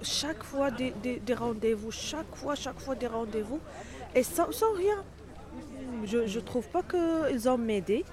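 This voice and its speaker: A woman's voice: on the high side, expressive, a crowd in the background. Hesitant Arabic-speaking woman, busy background. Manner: hesitant, halting non-native French with searching for words. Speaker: interviewee, home care worker. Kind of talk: spontaneous interview answers.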